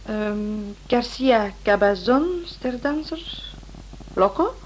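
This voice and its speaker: Sharp detective's partner, higher-pitched, teasing, informal, faintly noisy old radio recording. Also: female voice, expressive, slow pace. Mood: musing. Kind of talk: radio play acting.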